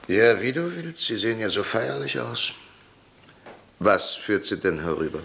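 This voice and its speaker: Dry skeptical professor, lowish, lively pitch, faint hum. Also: male voice, vocal fry, full character voice. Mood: dry, ironic. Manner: dry, ironic, brisk. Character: ironic older professor and politician, proud, skeptical. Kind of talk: radio drama acting.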